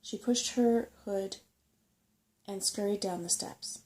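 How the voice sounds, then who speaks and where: A soft-spoken woman narrating a bedtime story in an ASMR style. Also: medium pitch, slow pace, a little hiss or hum.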